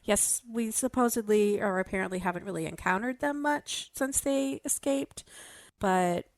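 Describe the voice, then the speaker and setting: A woman's voice: mid-range voice, moderate pace, dry recording. Explaining woman in a dry, close recording. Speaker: podcast panelist. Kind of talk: casual explanatory discussion of a fiction podcast.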